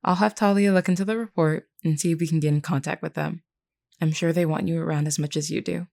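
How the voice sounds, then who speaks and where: Woman acting a low, creaky-voiced vampire queen. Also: fairly even intonation, a little mellow. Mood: calm, caring. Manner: measured, formal, earnest, reassuring. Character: composed, kindly vampire queen, solemn but caring leader. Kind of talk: scripted character roleplay audio.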